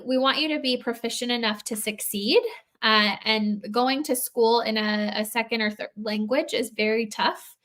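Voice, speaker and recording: A woman's voice: on the high side, fairly bright tone. A woman on a live stream answering viewers' questions in a patient, explanatory way, with frequent filler words. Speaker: program staff answering questions.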